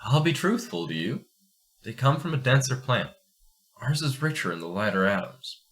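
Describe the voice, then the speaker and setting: A man's voice: medium pitch, big pitch swings, normal speed, fairly bright tone, pitched below the performer's own voice. A man playing an earnest, strict astronomer in an amateur radio play, speaking formally and emphatically. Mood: frank. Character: earnest, agitated astronomer pleading for change, fatherly and strict.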